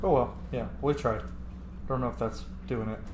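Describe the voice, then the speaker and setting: A man's voice: mid-range voice, fairly even intonation, normal speed, echoey room, background noise. A man giving casual, playful gameplay commentary with jokey sing-song asides. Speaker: let's player.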